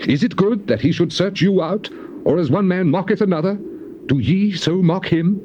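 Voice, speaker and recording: An actor playing a suffering, defiant righteous man in a dramatized scripture recording, declaiming with passion and weighty pauses. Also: fairly low pitch. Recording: dull, noisy old cassette tape recording.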